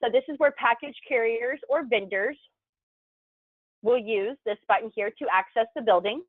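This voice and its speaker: A woman's voice: a little high, normal speed, dry recording. A woman narrating an instructional video, explaining things step by step as a tutorial presenter. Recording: thin phone-like band-limited sound, dry.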